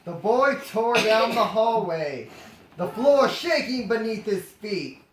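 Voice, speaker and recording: A storyteller reading aloud comedically, with animated, sarcastic improvised asides. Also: medium pitch, slow, background noise. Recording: roomy, echoing sound.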